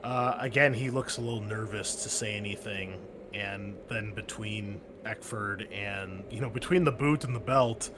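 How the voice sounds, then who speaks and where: A man running a tabletop game as game master, talking in halting, stammering bursts. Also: lowish voice, background noise.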